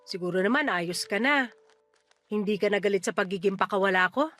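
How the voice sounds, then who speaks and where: An actress playing a flighty, doting middle-aged mother in a radio drama, with a breezy, teasing, expressive delivery. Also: mid-range voice, fairly quick, faint music behind, lightly acted. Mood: subdued. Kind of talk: Tagalog radio drama acting.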